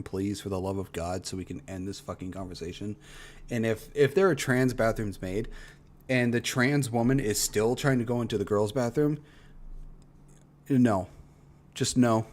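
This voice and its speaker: A man's voice: on the low side, darkish tone. A man giving casual, opinionated reaction commentary as a streamer, speaking bluntly and swearing freely. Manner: blunt, profane. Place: livestream reaction recording.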